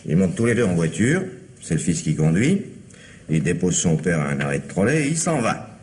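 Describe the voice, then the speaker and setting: A man's voice: low-pitched, sing-song, fairly quick, darkish tone, slight background noise. An actor in an old French radio mystery playing a young, defensive police officer who gets flustered reporting to his boss. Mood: earnest reporting. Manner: protesting, stammering under pressure.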